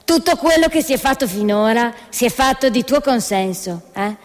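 A woman in a satirical stage play voicing a smooth-talking, patronising middle-aged father who wheedles and insists. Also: fairly high pitch, moderate pace, long reverb tail, lightly acted. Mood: coaxing, pressuring. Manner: wheedling, insistent, tags phrases with questions. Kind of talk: theatrical satire.

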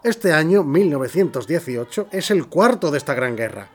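A man from an audio drama playing a measured, evasive Spanish governor, speaking formally as if making a speech, with a Spanish accent from Spain. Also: on the high side, sing-song. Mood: placating.

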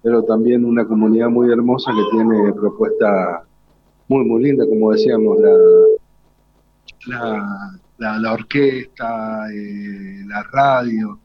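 A man's voice: on the low side, steady tone, takes it slowly, muffled recording. A man with an Argentine accent presenting live on radio as a co-host, unscripted and often repeating his words.

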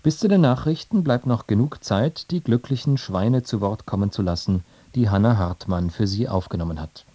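Dark-voiced German radio presenter, man. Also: on the low side, moderate pace, few pauses. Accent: standard German. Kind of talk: scripted radio continuity announcement.

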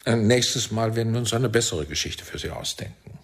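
Shrewd, husky, low-voiced spymaster. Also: male voice. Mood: approving, controlled. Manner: deliberate, probing, swinging from soft to barking. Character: shrewd, commanding older male Israeli intelligence chief, paternal and sardonic. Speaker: actor in radio drama. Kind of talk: German radio drama acting.

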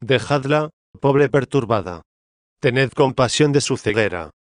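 Un hombre que pone voz al espíritu de un santo, solemne y compasivo. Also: male voice, mid-range voice, expressive, a little slow, no echo, clean studio sound, close to an everyday voice. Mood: compassionate. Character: solemn compassionate saint's spirit, male.

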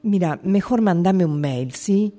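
A woman's voice: lowish voice, expressive, unhurried, warm tone. A woman with a Rioplatense accent playing an authoritarian, evasive boss, curt and sarcastic, in amateur radio theatre. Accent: Rioplatense Argentine Spanish.

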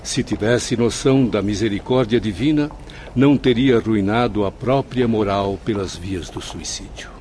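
A man's voice: fairly low pitch, normal speed, a little mellow, a little hiss or hum, lightly acted. An actor in a radio drama playing the spirit of a remorseful male professor, formal, earnest and penitent. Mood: regretful.

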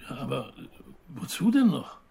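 An actor playing an evasive, touchy journalist under questioning in a naturalistic radio crime drama, with a gravelly, husky voice. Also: male voice, medium pitch, sing-song, a little slow, dark tone, full character voice. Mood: defensive, irritated.

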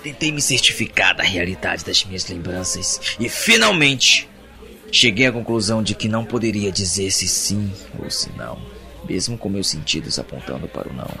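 A man with a Brazilian Portuguese accent playing an anguished captive in an audio drama, narrating his imprisonment in a brooding, deliberate monologue. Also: sits low, lively intonation, creaky. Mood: haunted, subdued. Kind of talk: dramatic first-person monologue. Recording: music bed under the voice.

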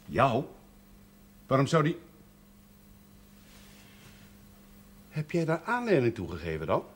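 An actor playing a proud, suspicious middle-aged father in a television drama, gruff and dismissive. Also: medium pitch, wildly swooping pitch, moderate pace. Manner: gruff, dismissive, needling questions. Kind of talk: naturalistic television drama acting.

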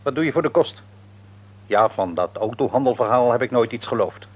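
A shrewd middle-aged businessman acted by a man in a Dutch radio drama, with a Netherlands accent. His voice is husky and moves animatedly up and down. He probes and patronises, jovial on the surface and domineering underneath. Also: medium pitch, animated, brisk, natural voice. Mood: patronising, insistent. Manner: probing, patronising, wordy. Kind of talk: Dutch radio play acting.

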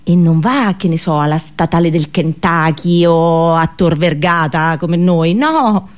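A woman's voice: fairly low pitch, a little slow. A woman performing a comic theatrical monologue for radio, colloquial and sarcastic, full of exaggerated rhetorical questions.

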